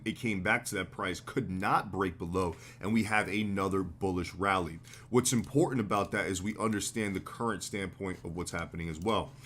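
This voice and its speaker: An American man with a slightly hoarse voice, hosting a live trading stream in a relaxed, slangy way. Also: fairly low pitch, slight background noise. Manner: relaxed, loose, slangy explaining with fillers. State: slightly hoarse, getting sick. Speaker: trading livestream host. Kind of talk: casual live market commentary.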